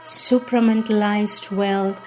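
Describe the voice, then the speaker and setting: A woman reading a devotional script in a measured, solemn manner. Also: medium pitch, fairly even intonation, loud music behind the voice, poor audio. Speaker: reader.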